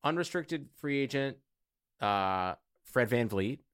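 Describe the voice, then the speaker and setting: A man's voice: medium pitch, expressive, slow pace, a bit bright, clean tone, no room sound, studio quality. A man co-hosting a casual sports podcast, talking in informal, sweary, bro-like slang. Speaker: podcast co-host.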